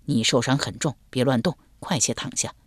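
A woman voicing a mild, weary male swordsman in an audiobook, soft-spoken, calm and resigned. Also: very deep voice, fairly quick, breathy edge, no room sound, clean studio sound, voice pushed down. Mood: gentle, resigned.

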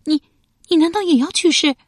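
A woman's voice: high-pitched, lively intonation, brisk, a little mellow, no room sound, studio quality, voice pushed up. A woman storyteller playing an anxious young mother from ancient times, questioning and pleading with her husband. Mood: shocked, alarmed. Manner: anxious, questioning, tearful when protesting. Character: frightened pleading young mother, wife of an ancient court cook.

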